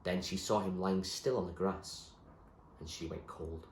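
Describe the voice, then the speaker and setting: A man reading a novel aloud as expressive storybook narration, like a teacher reading to a class. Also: low voice, measured pace, reverberant, a little hiss or hum.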